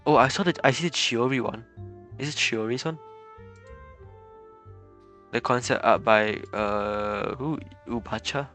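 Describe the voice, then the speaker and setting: Guy streaming a game, chatty and laughy, mid-pitched voice, soft game music behind. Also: a little slow, long pauses. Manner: chatty, laughing, reacting on the fly. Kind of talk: casual live-stream commentary.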